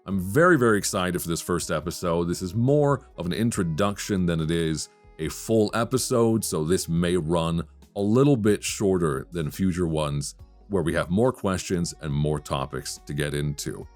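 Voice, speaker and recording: A man hosting a podcast in a late-night radio chat style, speaking in a measured, articulate and thoughtful way. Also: low voice, faint music behind. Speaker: podcast host; voice actor.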